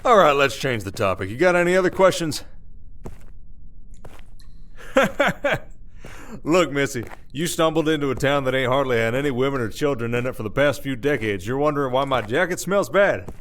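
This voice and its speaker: A man's voice: medium pitch, big pitch swings, brisk, a bit bright. Man playing a wry drawled werewolf sheriff. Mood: teasing. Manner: folksy, dry-humored, rambling explanations. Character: weary, wry, protective male werewolf sheriff of an Old West town. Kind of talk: ASMR audio roleplay acting.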